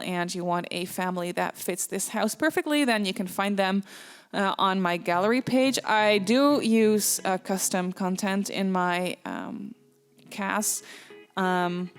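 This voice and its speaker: A young woman with a Dutch accent, chatting casually over a game build, with frequent ums and soft laughs. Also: medium pitch. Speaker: Sims builder and YouTuber.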